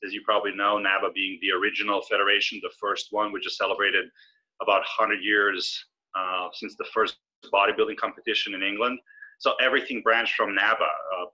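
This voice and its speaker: A man in his forties from Serbia talks at an easy, explaining pace. His voice sits a little low but sounds very bright and forward. It comes through a video call with slightly dull, lo-fi audio. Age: 40s. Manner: easygoing, measured and explanatory. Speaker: guest. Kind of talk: casual interview. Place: indoor room with brick wall. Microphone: video call webcam.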